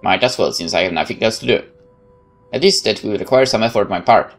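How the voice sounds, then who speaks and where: A man's voice: lowish voice, animated, fast. A man doing a let's play, reading a visual novel's narration aloud and adding wry casual commentary, with game music behind him. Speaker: gaming YouTuber.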